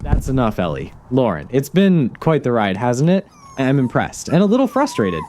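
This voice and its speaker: A man's voice: medium pitch, huge pitch swings, normal speed, a little mellow. An actor playing a smug, ruthless male villain in an audio drama, sneering with mock politeness and wordy taunts. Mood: smug, taunting. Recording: clear background noise behind.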